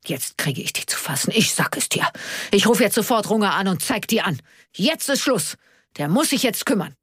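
A woman's voice: medium pitch, big pitch swings, brisk, bright, forceful tone, husky, dry recording, studio quality, pitched above the performer's own voice, exaggerated voice. A woman voicing a grumpy, hot-headed older male retired police officer, complaining and impatient, in an audiobook. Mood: outraged. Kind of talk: audiobook character dialogue.

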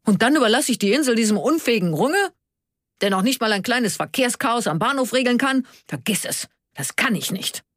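Woman voicing a grumpy, husky older retired policeman. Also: low voice, moderate pace, no echo, clean studio sound. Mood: indignant, stubborn. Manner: complaining, impatient, commanding. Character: grumpy, hot-headed retired male police officer, indignant and stubborn. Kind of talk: audiobook character dialogue.

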